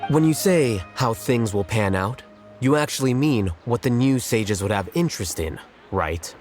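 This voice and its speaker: A man voicing a cool, intellectual young scholar in a video game, measured, blunt and matter-of-fact. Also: mid-range voice, expressive, a little mellow, lightly acted. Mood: detached. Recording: game music behind the voice.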